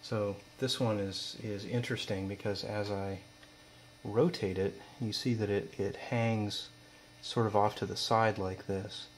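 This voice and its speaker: A man's voice: lowish voice, unhurried. A young man giving an informal, matter-of-fact demonstration, explaining things as a student presenter. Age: young adult. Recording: steady mains hum, background noise.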